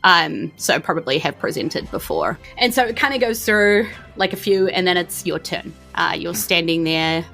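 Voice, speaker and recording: A woman's voice: on the low side, lively intonation, moderate pace, vocal fry, hardly any pauses, fairly bright tone, faint music behind. A woman, informally narrating a tabletop game as game master. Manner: informal, colloquial.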